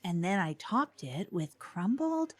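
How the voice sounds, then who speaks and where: A woman playing an earnest, gushing small-town community radio host in a comedy show, a little flustered and halting in her phrasing. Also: mid-range voice, very animated, slightly rough. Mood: flustered, chatty. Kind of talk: improvised mock public access radio chat.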